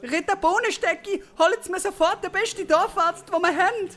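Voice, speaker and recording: An actress playing an imperious medieval noble in a Swiss German comic audio drama. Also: female voice, extremely high pitch, normal speed, fairly bright tone. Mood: commanding. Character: imperious, shrill member of a medieval ruler's household.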